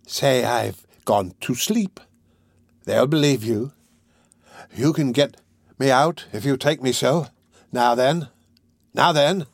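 A man voicing a terrified, deranged old prisoner in a dramatic audiobook, muttering feverishly in broken, drawn-out phrases and cries. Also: medium pitch, creaky, no echo, studio quality. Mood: feverish, urgent. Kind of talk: dramatic audiobook character reading.

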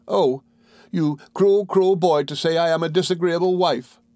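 A man's voice: a little high, measured pace, warm tone, no room sound, pitched above the performer's own voice, natural voice. A man voicing a childish, tearful young wife in an audiobook, piteous, pleading and pouting. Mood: wounded, petulant. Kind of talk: audiobook character dialogue.

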